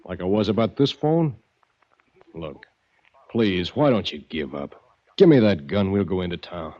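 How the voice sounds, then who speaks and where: An American actor playing a steady, compassionate small-town filling station attendant in a 1940s radio drama, plain and earnest as he reasons. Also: low-pitched, a little slow, dry recording. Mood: wary. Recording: dull, dry vintage radio recording.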